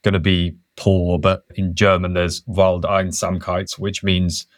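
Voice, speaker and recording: A man's voice: deep voice, little pitch movement, a little slow, no echo, clean studio sound. A man speaking as a podcast guest, reflective and thinking aloud in a casual interview. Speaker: podcast guest, conservation worker.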